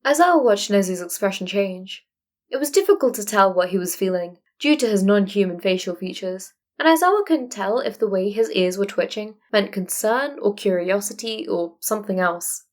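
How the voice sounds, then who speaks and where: A woman's voice: mid-range voice, lively intonation, normal speed. A woman reading scripted fan fiction as an audiobook narrator.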